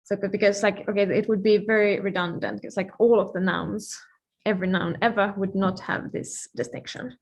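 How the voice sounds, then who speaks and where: A woman who is a native Finnish speaker gives an informal live-coding lecture, thinking aloud with playful asides and pauses mid-thought. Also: medium pitch, fairly even intonation, creaky. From Finland.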